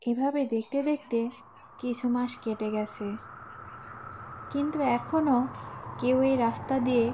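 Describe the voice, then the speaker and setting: A woman's voice: a little high, measured pace, long pauses, noisy background. A woman giving scripted storytelling narration for a cartoon tale.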